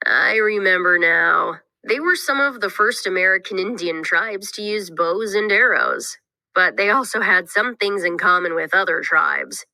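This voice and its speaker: A woman's voice: fairly low pitch, measured pace, vocal fry, sharp tone, no room sound, voice pushed down, full character voice, rough recording. A woman voicing a skeptical, inquisitive young boy in a scripted read-aloud for children, questioning everything with emphatic doubt. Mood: curious.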